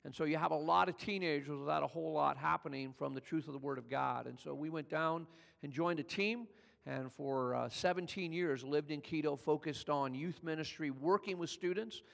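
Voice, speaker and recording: A man's voice: a little fast, gravelly, fairly bright tone, long reverb tail. An older man from California, a pastor giving a conversational sermon that builds in energy as he retells the story. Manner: conversational preaching, builds in pitch and volume as he tells the story.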